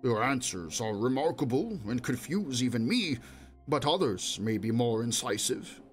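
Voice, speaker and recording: A man's voice: mid-range voice, lively intonation, moderate pace, soft background music, lightly acted. Jittery quarry master, quavering and worried, read by a man, storybook voice. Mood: nervous. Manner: nervous, quavering. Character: nervous male quarry master.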